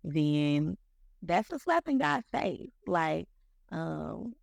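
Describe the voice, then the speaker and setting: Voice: medium pitch, sing-song, drawn-out words, vocal fry, no echo. A speaker in their mid-thirties talking about faith on a podcast, in a rambling way, leaning on "like" and "you know" and repeating words. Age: 30s. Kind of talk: casual faith talk.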